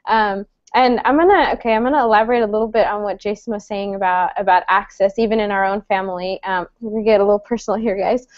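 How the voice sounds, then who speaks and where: A woman's voice: mid-range voice, steady tone, fast, few pauses, no echo. A woman in her thirties from El Salvador, moderating an online panel in a friendly, chatty way with many fillers and restarts. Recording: dull lo-fi dry sound.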